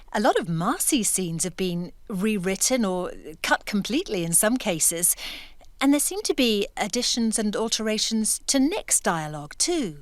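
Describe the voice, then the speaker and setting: Casting: actress for self-assured female writer in a radio play, shrewd and quietly assertive, medium pitch, very dry acoustic. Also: no echo, lightly acted. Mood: pointed, controlled. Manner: composed, pointed, quietly assertive. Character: shrewd, self-assured woman writer secretly behind a male pen name. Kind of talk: naturalistic audio drama acting.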